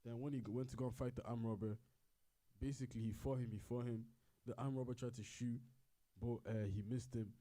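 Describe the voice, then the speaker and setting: A man's voice: mid-range voice, little pitch movement, brisk, mellow, poor audio. A man casually retelling a story in a rambling, repetitive way and correcting himself as he goes. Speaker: co-host.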